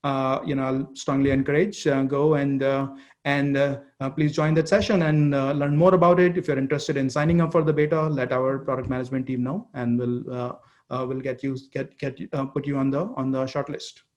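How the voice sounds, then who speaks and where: A man presenting a product keynote as a company chief executive, speaking haltingly and often repeating words and phrases. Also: mid-range voice, level tone, darkish tone, lo-fi sound.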